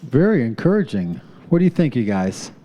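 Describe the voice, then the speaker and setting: A man's voice: mid-range voice, sing-song, brisk, highs cut off, noisy background. A man hosting a live community TV talk show, upbeat, thanking the crew and introducing segments. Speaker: show host. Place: studio with live audience.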